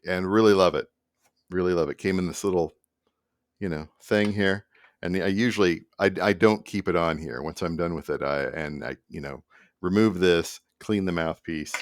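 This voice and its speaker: A man's voice: sits low, smooth, no room sound, clean studio sound. A middle-aged man talking casually and loosely to camera as a musician, rambling in an easygoing way. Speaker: musician presenting own project. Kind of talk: casual behind-the-scenes vlog talk to camera. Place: small indoor home room at a computer.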